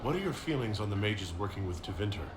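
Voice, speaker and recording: An actor playing a courteous, composed hero in a fantasy video game, speaking calmly and directly. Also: male voice, on the low side, quick pace, background noise. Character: composed, courteous heroic male investigator. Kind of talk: video game cutscene acting. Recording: roomy echo with music behind.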